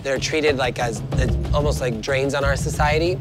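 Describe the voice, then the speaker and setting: Male interviewee, American, mid-range voice, opinion soundbites over clear background music. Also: mid-range voice, normal speed. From United States. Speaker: street interviewee. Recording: music behind the voice.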